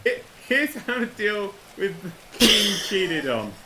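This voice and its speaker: A man's voice: sits high, big pitch swings, takes it slowly, heavy background noise. A man telling stories casually and comically as a livestream co-host, swearing and restarting his phrases. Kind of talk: casual comic storytelling and banter.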